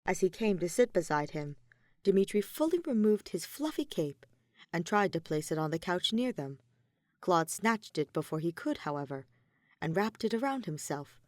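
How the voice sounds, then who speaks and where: A woman's voice: lowish voice, very animated, moderate pace, darkish tone, dry recording, clean studio sound. A woman reading scripted romantic fiction as a narrator. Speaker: podfic narrator.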